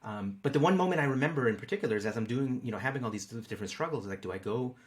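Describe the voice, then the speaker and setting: A man's voice: mid-range voice, animated, rapid-fire. A middle-aged man talking casually as an interview guest, often repeating words as he searches for phrasing. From born in Peru, raised in the United States. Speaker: interview guest, market researcher.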